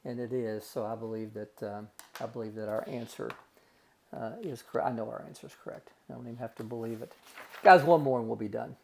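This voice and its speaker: A man's voice: mid-range voice, fairly even intonation, a little fast, vocal fry, a little hiss or hum. A man teaching a recorded math lesson, working through calculations step by step in a folksy way and calling his students guys and gang.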